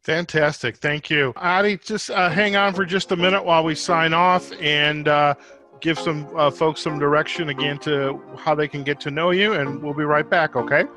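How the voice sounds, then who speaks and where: A man hosting a podcast interview in a conversational way, often pausing and restarting his phrases. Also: fairly high pitch, fairly even intonation, sharp tone, no echo, faint music behind. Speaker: podcast host.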